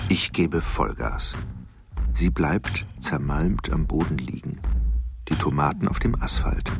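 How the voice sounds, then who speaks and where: An actor in a radio drama playing a cold, violent man who recites brutal fantasies in clipped fragments, sometimes whispering, with a gravelly voice. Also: a bass voice, heavily acted. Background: music.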